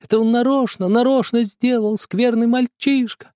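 A man's voice: very high voice, fairly even intonation, moderate pace, a little mellow, smooth, dry recording, clean studio sound, pitched above the performer's own voice. A man reading aloud and voicing an angry, tearful older sister who shrieks accusations at her little brother. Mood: tearful complaint. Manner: shrieking, accusing, near tears.

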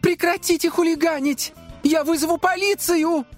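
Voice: medium pitch, animated, slow pace, faint music behind, voice pushed up. A performer voicing a jumpy, dramatic young woman lab assistant in a children's audio story. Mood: panicked.

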